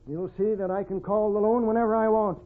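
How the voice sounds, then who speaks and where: A man's voice: high voice, fairly even intonation, fairly quick, close to an everyday voice. A man playing a domineering, greedy wagon boss with folksy Western speech in a 1940s radio western. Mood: hard, scheming. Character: bullying, greedy male wagon boss after gold. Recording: tinny, band-limited old radio recording.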